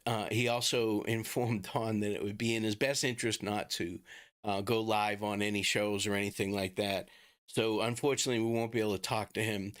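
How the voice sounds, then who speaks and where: A middle-aged man with a Boston accent talks casually and haltingly to viewers as a livestream host. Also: on the low side, a bit bright. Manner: informal, chatty, halting.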